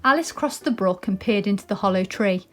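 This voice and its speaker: A woman's voice: mid-range voice, expressive, fairly quick, soft background music. A British woman presenting and reading a children's story aloud.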